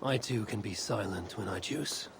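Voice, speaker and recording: A man's voice: lowish voice, normal speed, gravelly, background noise. An actor playing a bold, self-assured male Viking warrior in a video game, speaking tersely in formal, archaic phrases. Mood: firm, defiant. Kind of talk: dramatic video game dialogue.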